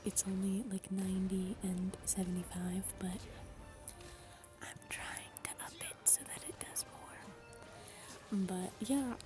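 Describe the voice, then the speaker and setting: A young woman whispering her way through soft-spoken gaming chat. She rambles, with frequent ums, and her voice is a little raspy from a dry throat. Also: medium pitch, slow, long pauses, music in the background. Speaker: gaming YouTuber.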